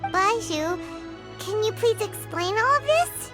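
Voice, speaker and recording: A tiny, bossy female snake from a video game, very high and childlike in pitch. She scolds in a haughty, theatrical way, drawing her words out with big swoops. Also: animated, takes it slowly, over music, heavily acted. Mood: candid, insistent. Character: small female talking snake, sharp-tongued, bossy, scolding companion. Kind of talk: scripted video game voice acting.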